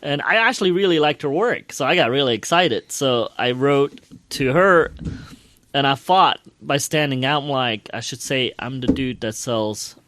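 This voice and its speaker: A man's voice: mid-range voice, lively intonation, normal speed, fairly bright tone, a little hiss or hum. A man with an American accent telling casual anecdotes as a podcast co-host, self-deprecating and quoting people as he goes.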